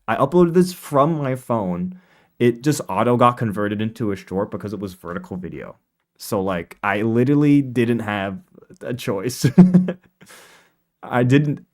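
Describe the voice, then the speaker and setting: Low-voiced man chatting casually on stream. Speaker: live streamer. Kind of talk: casual livestream chat, commenting on own video. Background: quiet lo-fi music.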